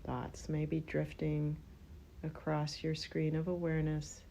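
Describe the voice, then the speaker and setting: A woman leading a guided yoga nidra relaxation, speaking in gentle, spaced-out phrases as she gives unhurried instructions. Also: low voice, completely flat delivery, creaky, slight background noise.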